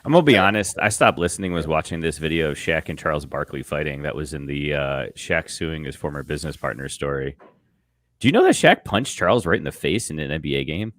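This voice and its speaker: A man with a deep voice and very lively intonation, co-hosting a casual comedy podcast. He rambles incredulously, and the sound is close and dry. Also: fast, no echo. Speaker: podcast co-host.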